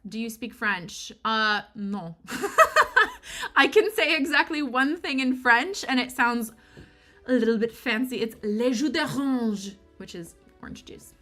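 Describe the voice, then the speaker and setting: A woman's voice: on the high side, animated, slow pace, fairly bright tone. A tired woman with a Michigan accent, chatting casually and rambling as she answers viewers during a livestream. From United States. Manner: rambling, chatty, self-deprecating, answers chat questions. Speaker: livestream host. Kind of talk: casual chat with viewers during a co-working stream. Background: lofi music.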